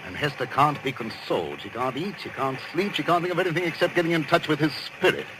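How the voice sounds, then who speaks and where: An actor playing a confident, avuncular middle-aged lawyer in a 1940s radio drama, emphatic and self-assured. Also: male voice, fairly high pitch, fast, lightly acted. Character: confident, breezy older lawyer, an avuncular debunker. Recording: noisy vintage 1940s radio recording.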